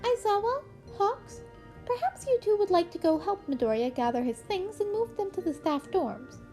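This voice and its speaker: Woman acting high-pitched, polite male school principal. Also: sing-song, normal speed, a little mellow, full character voice. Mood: polite, brisk. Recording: music playing under the voice.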